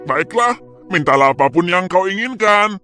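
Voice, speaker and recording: A man's voice: high voice, animated, moderate pace, bright, forceful tone, soft background music. A man voicing a powerful, obliging genie of a magic lamp in a grand storybook manner.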